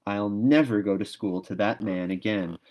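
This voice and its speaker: A man's voice: on the low side, normal speed, darkish tone, natural voice. A man voicing a stubborn young schoolgirl in a storybook, solemn, earnest and theatrically reproachful. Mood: defiant.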